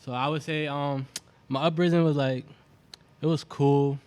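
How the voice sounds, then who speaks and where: A man in his twenties from Boston, a guest talking in a relaxed, rambling way with lots of fillers. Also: on the high side, moderate pace, clean tone. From Boston, USA (born in Venezuela). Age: 20s. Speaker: guest, clothing brand founder. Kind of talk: casual interview chat.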